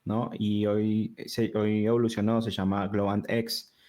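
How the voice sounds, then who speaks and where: A man in his thirties, born in Peru, who talks with a River Plate Spanish accent, chatting as a guest in a relaxed, talkative way with many fillers and restarts. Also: fairly low pitch, a little slow. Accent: Rioplatense Spanish. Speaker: guest, digital product designer. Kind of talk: casual interview conversation.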